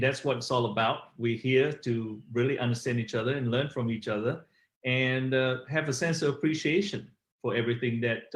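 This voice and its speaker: A man's voice: medium pitch, reverberant. A middle-aged man from Malaysia, an author telling his story as an interview guest, rambling with many fillers. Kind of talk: conversational interview.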